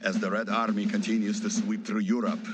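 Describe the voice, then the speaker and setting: An actor playing a triumphant Soviet propaganda announcer, declaiming newsreel narration in a war game. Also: male voice, lowish voice, flat delivery, brisk, close to an everyday voice. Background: loud drums and music.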